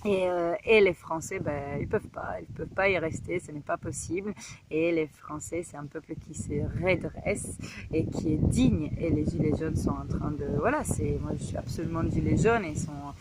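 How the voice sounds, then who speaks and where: A woman's voice: low-pitched, moderate pace, heavy background noise. A woman from Italy with an Italian accent, talking earnestly in a casual video message, hesitant, with many fillers and restarts. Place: home in Guadeloupe.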